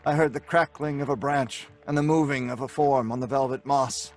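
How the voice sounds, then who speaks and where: A man's voice: medium pitch, steady tone, moderate pace, close to an everyday voice. A man playing a haunted, defiant scientist, with grave, dramatic delivery in old-time radio horror style. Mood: grave, tense. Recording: music under the voice.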